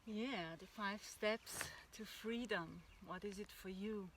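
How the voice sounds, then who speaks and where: A woman's voice: medium pitch, takes it slowly, background noise. A woman from Austria with an Austrian German accent, speaking English as a second language. She co-hosts a workshop outdoors and talks enthusiastically, sometimes searching for words. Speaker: workshop co-host. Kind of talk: casual spiritual talk. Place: outdoors under an old oak tree.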